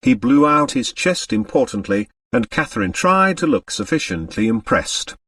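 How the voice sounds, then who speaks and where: Animated male audiobook narrator. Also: mid-range voice, moderate pace, no room sound, distant mic. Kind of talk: scripted literary narration.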